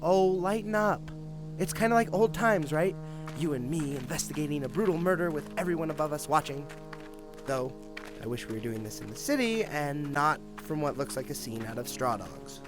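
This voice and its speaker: Man playing a higher-voiced, wry detective. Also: animated, moderate pace, close to an everyday voice. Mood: wry, persuasive. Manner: casual, wry, emphatic. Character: wry, grumbling male detective, former partner. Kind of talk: audio drama dialogue. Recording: dialogue over clear background music.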